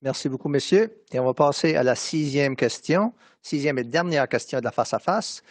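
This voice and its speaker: A man moderates a debate with brisk, formal announcements of turns and time limits. The sound is dry and close, with no room around it. His pitch stays at an ordinary middle level. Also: no room sound.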